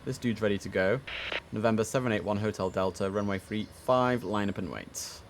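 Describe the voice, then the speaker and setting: A man's voice: lowish voice, fairly quick. A man giving casual live-stream commentary as he plays air traffic controller, easygoing and half talking to himself, slipping into clipped controller phraseology.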